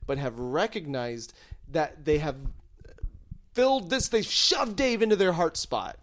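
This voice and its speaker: A man hosting a comedy podcast, bantering casually and acting out imagined dialogue in an animated, freely swearing way. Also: a little high, unhurried. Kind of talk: casual comic banter, improvising scenarios.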